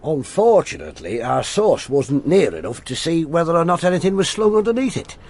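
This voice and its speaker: Over some background noise, a sardonic detective inspector in radio drama. His voice is gravelly and swings widely in pitch, by turns musing and authoritative. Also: very animated, a little fast, a little mellow. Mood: brisk, musing. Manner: musing, clipped questions, sardonic. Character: wry, brooding, authoritative male police detective inspector.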